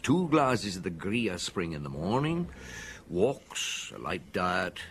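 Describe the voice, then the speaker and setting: A seasoned police detective in his fifties for an audio drama. The voice is very deep and moves freely up and down, wry and reflective. There is some faint noise behind it. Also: sing-song, slow, a bit bright, exaggerated voice. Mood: wry reminiscing. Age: 50s. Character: wry fifty-year-old French police detective on holiday. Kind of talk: audio drama dialogue and storytelling.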